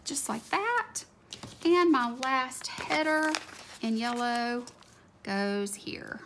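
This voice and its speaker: A woman's voice: on the high side, lively intonation, very slow, a bit bright. Chatty woman with a scratchy voice. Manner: chatty, gushing over cute stickers. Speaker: planner video creator. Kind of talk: casual narration while decorating a planner. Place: home desk, overhead camera on hands. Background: faint room ambience, a dog snoring.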